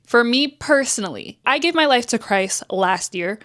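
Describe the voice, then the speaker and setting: A woman's voice: expressive, moderate pace, a bit bright. A woman in her twenties from New Jersey talking candidly to camera in a casual vlog. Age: 20s. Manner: chatty, candid, acts out quotes with pitch swings. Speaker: vlogger.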